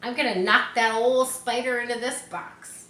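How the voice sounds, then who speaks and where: A woman voicing an excitable, mischievous young farm boy in a children's storybook, eager and boastful. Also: fairly high pitch, moderate pace, reverberant, pitched above the performer's own voice. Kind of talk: children's storybook character reading.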